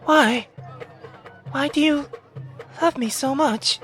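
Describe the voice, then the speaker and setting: A timid young girl from a visual novel, voiced by a man, high and slow. She is lovestruck and speaks in hesitant questions, with music underneath. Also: fairly bright tone, heavily acted. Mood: bewildered.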